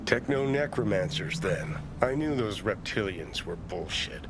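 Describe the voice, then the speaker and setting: An actor playing a cynical, mocking sidekick in a video game, sardonic and theatrical with a dry wit. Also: male voice, deep voice, expressive, a little slow, heavy background noise. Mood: sardonic disappointment.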